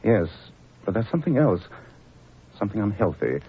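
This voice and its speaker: A brisk, commanding detective in an old radio drama, his lively voice rising and dipping as he gives orders. The sound is muffled, with a loud hiss running under it. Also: fairly low pitch. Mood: grim. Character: brisk, commanding male detective, middle-aged. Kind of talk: 1940s radio acting.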